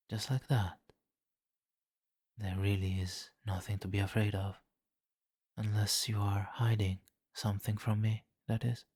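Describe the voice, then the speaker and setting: A deep-voiced vampire king played by a man speaks slowly and closely in a dry, intimate ASMR roleplay. He is imperious and seductive, teasing with soft menace and condescending amusement. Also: no echo. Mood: calm, reassuring authority, then commanding, menacing. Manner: slow, commanding, teasing, softly menacing. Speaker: roleplay voice performer.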